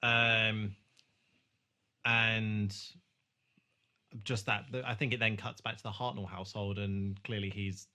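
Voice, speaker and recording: A man hosting a podcast, casually discussing a film in a halting way with false starts. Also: fairly low pitch, steady tone, pushed and bright. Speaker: podcast host.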